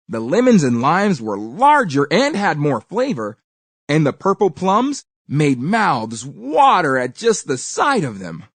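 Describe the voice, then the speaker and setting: Animated woman storyteller reading aloud. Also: deep voice, breathy edge, no echo. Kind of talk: expressive scripted children's story narration.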